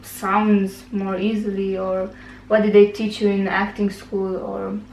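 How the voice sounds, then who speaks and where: A woman's voice: mid-range voice, monotone, a little slow, darkish tone. A young woman from Romania with a Romanian accent, hosting a casual interview and often nodding along with mm-hmms. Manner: friendly, frequent mm-hmms. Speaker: host, YouTuber. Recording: echoey room, faint background noise.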